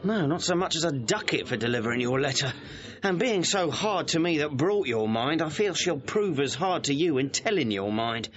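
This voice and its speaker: A man's voice: fairly high pitch, animated, moderate pace, lightly acted. Man acting impudent comic servant, swooping intonation, theatrical Shakespearean audio play, muffled dull recording. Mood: mock grievance. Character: cheeky quick-witted male servant, punning, money-grubbing.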